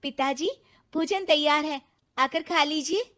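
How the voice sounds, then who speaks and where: A very high, youthful female voice put on by a storyteller for a devoted daughter-in-law. She speaks humbly and earnestly, always pleading to be understood, in the style of a dramatised moral tale. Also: measured pace, fairly bright tone. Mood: gentle.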